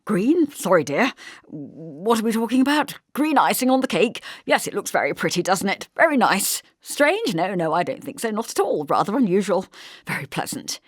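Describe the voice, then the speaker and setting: A woman's voice: mid-range voice, sing-song, normal speed, dry recording, studio quality, voice pushed up. A woman voicing a distracted, amiable middle-aged man in an audiobook, rambling mildly and agreeably. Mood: vague, mildly worried. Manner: rambling, mild, preoccupied.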